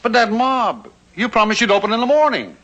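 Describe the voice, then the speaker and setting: A man's voice: very high voice, big pitch swings, brisk, bright, forceful tone, heavily acted. An American man playing a banker's worried, skeptical friend in a vintage television western, questioning and wry. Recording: dull old television soundtrack, faint music.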